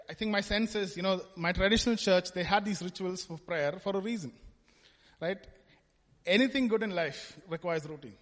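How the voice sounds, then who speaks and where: A man from Kerala, India, giving a conversational testimony talk at church and often adding "right?" to his points. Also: high voice. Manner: conversational, tags lines with "right?". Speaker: church speaker. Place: church hall. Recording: long hall reverb.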